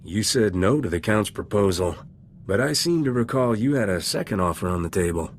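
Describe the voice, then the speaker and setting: An actor playing a cocky, flirtatious smuggler captain in a video game, swaggering and glib with a teasing delivery. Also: male voice, fairly low pitch, expressive, fairly quick, slight background noise, close to an everyday voice. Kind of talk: scripted video game dialogue.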